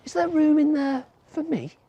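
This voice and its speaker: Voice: high voice, sing-song, normal speed, dark tone, dry recording, muffled recording, voice pushed up. A storyteller voicing a sly fox in a children's folk tale, sweet-talking the small animals. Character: sly fox creeping up, falsely friendly.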